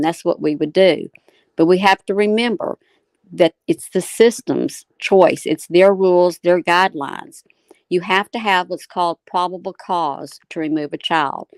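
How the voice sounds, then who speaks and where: A woman host giving measured, explanatory commentary and answering viewer comments in short, repeated phrases. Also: fairly low pitch, no echo. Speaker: talk show host.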